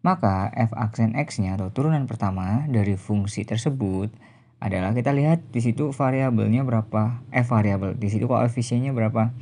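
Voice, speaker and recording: A man gives a step-by-step lesson as a teacher. His voice is fairly low and soft-edged, with a dark, relaxed tone. He explains methodically, pausing to stress each step. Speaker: math teacher.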